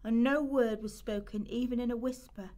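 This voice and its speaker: A woman's voice: on the high side, steady tone, normal speed, dark tone. A woman reading scripted literary narration as a storyteller in a radio play.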